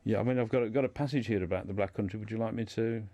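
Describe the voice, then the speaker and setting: A man speaking as a guest in a radio discussion, hesitant and halting, often repeating his words as he explains. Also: on the low side, mellow, no room sound, muffled recording. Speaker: guest discussing a writer's life and books.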